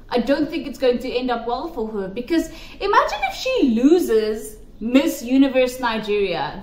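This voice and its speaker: A woman giving emphatic, opinionated commentary to camera, repeating her points for stress. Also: high voice. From South Africa. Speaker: pageant commentary vlogger. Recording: roomy echo.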